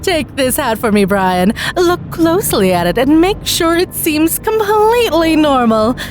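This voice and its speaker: Woman playing a sly, showy, animated male stage magician. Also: high voice, a little hiss or hum, pitched above the performer's own voice. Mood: smooth, menacing. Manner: theatrical, drawn-out formal patter. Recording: music bed behind the voice.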